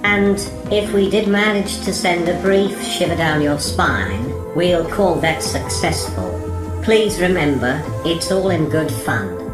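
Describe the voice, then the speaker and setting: A woman with a low voice delivers a scripted, courteous announcement. Her voice echoes in the room. Music plays clearly behind her. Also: expressive, slow pace. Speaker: presenter of a webcast holiday special.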